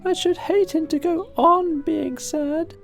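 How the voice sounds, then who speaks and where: A woman voicing a small, earnest, childlike piglet with a high squeaky voice in a children's audiobook. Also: steady tone, slow pace, gravelly, soft background music, exaggerated voice. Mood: earnest, hopeful. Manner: earnest, questioning. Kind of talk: children's audiobook character acting.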